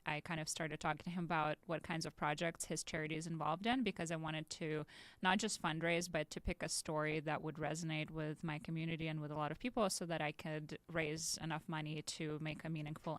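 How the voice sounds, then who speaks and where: A young woman from Ukraine talks as a podcast guest in a low, smooth and resonant voice. She chats easily and loosely, with plenty of fillers and small laughs. The recording is close and dry, with no room sound. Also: fairly bright tone, no room sound. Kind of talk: casual interview talk.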